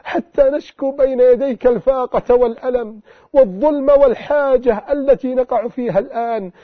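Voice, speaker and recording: A man with a strikingly high voice preaches a pleading, impassioned sermon built on repeated refrains. The sound is thin and phone-like, with no room echo at all. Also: fairly quick. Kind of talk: emotional sermon addressing the Prophet. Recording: tinny phone-like lo-fi recording.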